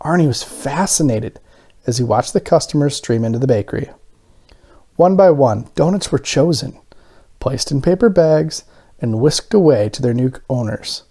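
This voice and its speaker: A man reads a children's picture book aloud. His voice is mellow and relaxed, with lively, varied intonation that carries the narration expressively. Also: medium pitch, normal speed, slightly rough.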